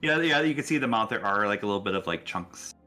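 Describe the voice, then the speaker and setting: A man's voice: lowish voice, lively intonation, quick pace, sharp tone, soft background music. A man running a tabletop roleplaying game as game master, narrating casually in a jokey way.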